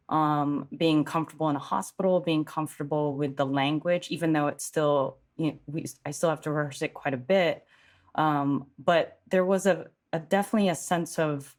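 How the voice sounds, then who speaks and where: A woman from California with a notably low voice. She answers interview questions reflectively, taking her time to explain each point in full. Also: a little mellow. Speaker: actor guest.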